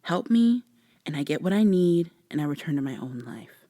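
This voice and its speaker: A woman playing a guarded, sardonic human woman in a vampire ASMR roleplay, speaking close and breathy, with sarcastic, wary delivery that slips into whispers. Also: low-pitched, expressive, normal speed, dark tone, dry recording. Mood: defiant, cold.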